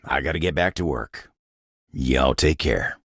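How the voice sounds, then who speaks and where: A man playing a gruff, proud, bashful old-fashioned wooden table in a video game, with a folksy country drawl from the American South and a grumbling, easily flustered way of talking. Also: rumbling low, expressive, fairly bright tone, dry recording, clean studio sound, heavily acted. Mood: sheepish, earnest. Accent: American Southern or rural Western drawl. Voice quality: gravelly. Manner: folksy, grumbling, flustered, halting when embarrassed. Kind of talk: video game character voice acting.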